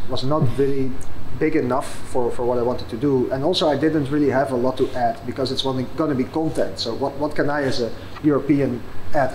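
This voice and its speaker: A man, a founder answering interview questions in a thoughtful, halting way. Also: medium pitch, a little mellow, a little hiss or hum, poor audio. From Netherlands. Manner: thoughtful, many fillers and restarts. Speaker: startup founder and guest.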